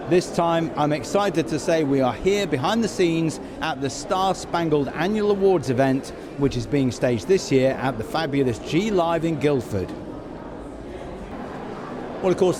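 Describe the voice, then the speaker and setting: Guy podcast host, slightly high voice, upbeat interviewing backstage, noisy behind him. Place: backstage at an events venue. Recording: noisy busy backstage location.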